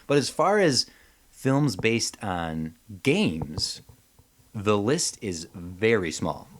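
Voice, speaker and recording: A man with a fairly low voice co-hosts a comedy podcast. He chats casually and jokes along in an animated, slightly salty way. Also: extremely slow, slight background noise. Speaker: podcast co-host.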